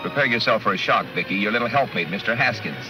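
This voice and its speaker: An actor playing a tough former policeman in a 1940s film serial, clipped, brisk and wry in his delivery. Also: fairly low pitch, animated, close to an everyday voice. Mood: brisk, assured. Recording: 1940s film soundtrack with music behind.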